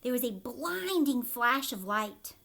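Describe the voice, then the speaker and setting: Female storyteller for young children: teacherly, explanatory asides, expressive delivery, lots of pitch movement. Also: takes it slowly, gravelly. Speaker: teacher reading aloud.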